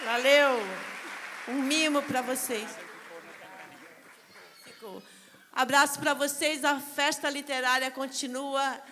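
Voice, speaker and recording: A woman's voice: high voice, fairly even intonation, takes it slowly, long pauses. A woman hosting a literary festival, making upbeat announcements to the audience. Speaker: festival host. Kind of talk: event announcements. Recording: hall reverb with crowd and noise.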